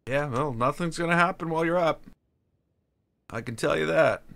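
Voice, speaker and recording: A man with a bright, edged tone talks through his game stream in a loose, halting way. His voice has a gravelly fry at the bottom. Keyboard typing and clicks sound behind him. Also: a little high. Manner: rambling, halting, answers chat between tasks. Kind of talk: casual live-stream chat while building.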